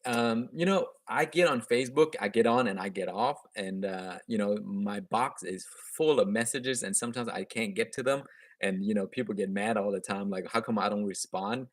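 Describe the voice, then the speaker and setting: A man's voice: on the low side, moderate pace, no room sound, studio quality. Chatty man with a slight Vietnamese accent. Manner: chatty and upbeat, frequent restarts and chuckles. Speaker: gardening channel host. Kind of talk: casual live stream chat answering viewer comments. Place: room at home, indoors.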